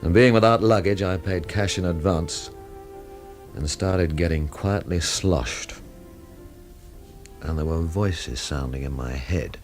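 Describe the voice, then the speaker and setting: Deep-voiced, world-weary, sardonic drinker. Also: male voice, slow, pauses often, soft background music. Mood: wry, reflective. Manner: dry, sardonic, literate phrasing. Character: world-weary, wry hard-drinking male storyteller, brooding and sardonic. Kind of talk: radio drama narration and dialogue.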